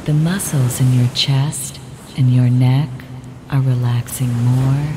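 A woman with a very deep voice talks the listener through a guided relaxation, calm and measured, at an unhurried crawl. Clear ocean surf washes behind her, and added reverb trails her words. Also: background noise. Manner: calm, measured, repetitive instructions. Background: ocean waves.

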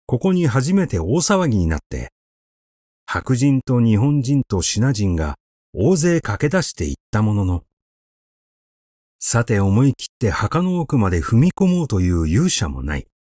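A man reading literary fiction aloud as scripted narration, in a measured, dramatic storytelling manner. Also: medium pitch, mellow, no room sound, clean studio sound. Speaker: audiobook reader. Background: music.

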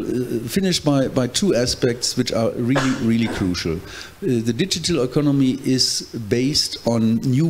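A man in his fifties, a trade union panelist speaking as an English speaker, answering earnestly with many pauses and restarts into a handheld mic at a conference. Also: medium pitch, darkish tone, slight background noise. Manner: hesitant, many fillers and restarts, earnest explaining. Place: conference hall, seated on a panel.

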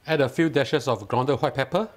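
A man's voice: medium pitch, normal speed. A man with a Singaporean English accent talking viewers through a home recipe step by step, instructive and lightly enthusiastic. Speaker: cooking channel host. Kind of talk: cooking tutorial voice-over. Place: home kitchen.

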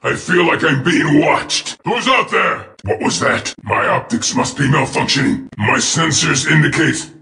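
A man voicing a brash, jumpy evil robot soldier in a video game, with a cocky, excitable delivery. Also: on the high side, unhurried, vocal fry, few pauses, echoey room, a little hiss or hum, full character voice. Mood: uneasy muttering. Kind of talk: video game combat barks.

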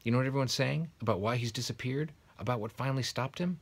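A man's voice: medium pitch, quick pace, breathy edge, pitched above the performer's own voice. A man voicing a stern, anxious older female professor of witchcraft from a storybook, prim and insistent.

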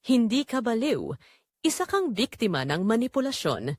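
A woman voicing a calm, understanding therapist in an audio drama, reassuring and measured. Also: on the high side, no echo, studio quality. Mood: calm reassurance. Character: calm, understanding therapist counselling a hurt patient.

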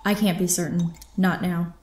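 A woman's voice: fairly low pitch, level tone, normal speed, noisy background, close to an everyday voice. An actress playing a proud, bitter female captain held prisoner, with theatrical, formal phrasing in a radio drama. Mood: weary, guarded. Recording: roomy, echoing sound.

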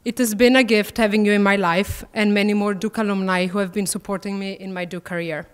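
A young woman in her twenties with an Italian accent, a student athlete giving a prepared speech at a podium, warm and gently self-deprecating. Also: medium pitch, flat delivery, a little fast, clean tone. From Italy. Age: 20s. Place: large banquet hall, at a podium. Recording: reverberant banquet hall.

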